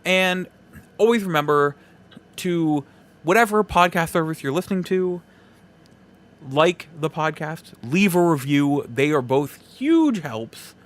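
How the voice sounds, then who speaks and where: A man hosting a solo podcast, giving casual opinion commentary in a halting, emphatic way, repeating phrases for effect. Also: fairly high pitch, expressive. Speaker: podcast host.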